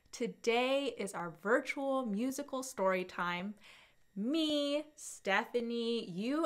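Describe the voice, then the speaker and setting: A young woman hosting a children's storytime, speaking with animated, exaggerated enthusiasm and deliberate enunciation as she teaches. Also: a little high. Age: young adult. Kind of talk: children's educational presentation.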